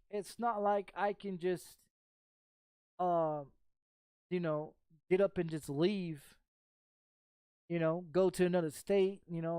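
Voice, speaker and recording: A woman's voice: lowish voice, darkish tone, smooth, no echo, clean studio sound. A woman in her thirties from Texas chatting casually as a streamer, blunt and sweary, circling back over the same phrases. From Texas, United States. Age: 30s. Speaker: game streamer. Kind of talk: casual rambling chat.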